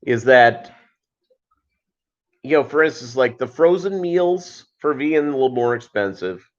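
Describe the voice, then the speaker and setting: A man hosting a casual livestream, chatting with viewers in a halting way and wandering between asides. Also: medium pitch, lively intonation. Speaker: livestream host. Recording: dull lo-fi livestream audio.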